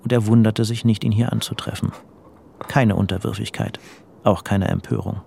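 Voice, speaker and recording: Low, gravelly male radio-drama narrator. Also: fairly even intonation, normal speed, dark tone, slight background noise.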